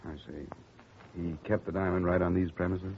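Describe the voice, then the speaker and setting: A man's voice: low voice, steady tone, slight background noise, close to an everyday voice. An actor playing a cool, wisecracking male freelance insurance investigator, dry and skeptical, in a 1950s radio drama. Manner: dry, skeptical and quick, narrating his expense report. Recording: dull lo-fi 1950 radio broadcast.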